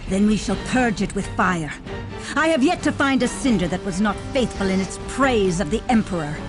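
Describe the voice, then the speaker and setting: An actress playing a zealous, commanding warrior-nun leader with a fervent, imperious manner in a video game cutscene. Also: female voice, medium pitch, lively intonation, normal speed, music in the background, close to an everyday voice. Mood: stern, devout.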